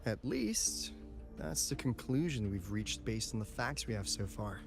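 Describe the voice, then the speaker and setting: A breezy, very animated game character. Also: male voice, lowish voice, measured pace, gravelly, over music, heavily acted. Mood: wry, candid. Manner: casual, teasing, expressive. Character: breezy, wry adult male academy insider briefing an auditor. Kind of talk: video game voice acting.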